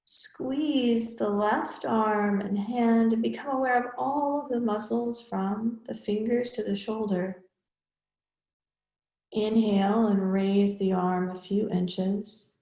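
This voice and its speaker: A woman yoga teacher leading a guided relaxation in measured, instructional short phrases with pauses between them. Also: a little high, fairly even intonation, warm tone, reverberant, low-quality recording.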